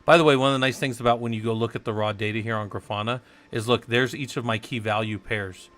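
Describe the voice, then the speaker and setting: A man's voice: mid-range voice, steady tone, quick pace, fairly bright tone. A man from the Southwest United States rambling and thinking aloud while teaching a casual live-stream tech tutorial, chatting with viewers as he works.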